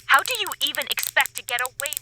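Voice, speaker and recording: An actress playing a fierce, exasperated female station commander who scolds in a sharp, commanding way. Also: high-pitched, animated, fast, background noise. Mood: angry.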